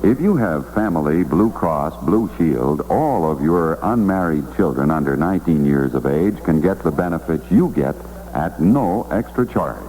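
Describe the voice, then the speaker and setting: A man's voice: very deep voice, animated, normal speed, mellow, slight background noise, low-quality recording. A man announcing a scripted 1960s radio commercial, on an old broadcast recording. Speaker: advertisement announcer.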